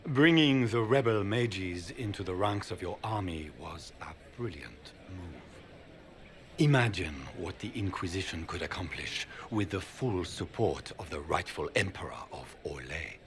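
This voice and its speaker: A scheming, silver-tongued grand duke. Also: male voice, low-pitched, animated, unhurried, noisy background. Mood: persuasive. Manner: smooth, persuasive, theatrical emphasis. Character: scheming, charming noble grand duke, middle-aged man. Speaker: grand duke seeking the throne. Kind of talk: video game cutscene dialogue.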